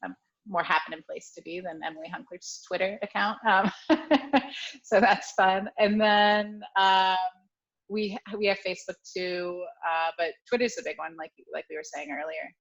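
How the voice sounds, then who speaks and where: An American woman in her thirties chats as an interview guest over a video call, her voice sitting at a middling pitch. She laughs easily and fills her talk with fillers and restarts. The audio sounds dull and lo-fi. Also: normal speed, fairly bright tone. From Ohio, USA. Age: 30s. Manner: chatty, laughs often, many fillers and restarts. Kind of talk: casual chat.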